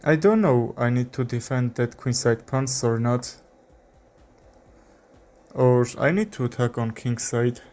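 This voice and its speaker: A young man with an Armenian accent commentating his own chess game, thinking aloud with frequent fillers and pauses. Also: medium pitch, a little mellow. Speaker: chess streamer. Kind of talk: live commentary while playing chess.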